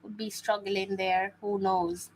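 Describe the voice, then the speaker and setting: A woman's voice: medium pitch, flat delivery, takes it slowly, rough recording. Chatty woman hosting a live watch-along. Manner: chatty, repeats phrases, many fillers. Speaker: host.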